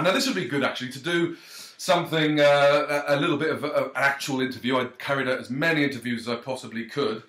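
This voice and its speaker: A man's voice: fairly high pitch, fairly bright tone. A male author talking casually to his readers, thanking them and reading a page, with lots of ums and uhs and self-deprecating jokes. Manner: chatty, hesitant, many ums and uhs; self-deprecating, jokey asides. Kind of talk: casual direct-to-camera thank-you and book reading. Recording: roomy echo.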